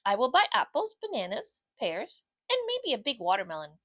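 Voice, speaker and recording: A sweet and industrious girl bulldog from a storybook, voiced by a woman reader. She speaks earnestly in a fairly high, very lively voice, stressing her words with determination. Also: normal speed, dry recording, dull audio, full character voice. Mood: eager. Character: sweet, smart, busy, determined female bulldog. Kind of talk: children's storybook character voice.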